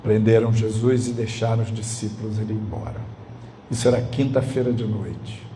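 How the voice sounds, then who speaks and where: A man from Brazil with a carioca accent, a pastor retelling a story as a measured, dramatic sermon. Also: fairly low pitch, steady tone, warm tone, a little hiss or hum. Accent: Brazilian Portuguese, carioca.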